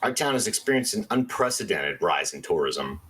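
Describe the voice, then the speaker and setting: Man playing husky, animated paranormal radio host. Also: low voice, normal speed, heavily acted, poor audio. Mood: exasperated, emphatic. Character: quirky, excitable small-town paranormal radio host. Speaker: radio call-in show host. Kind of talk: radio play acting.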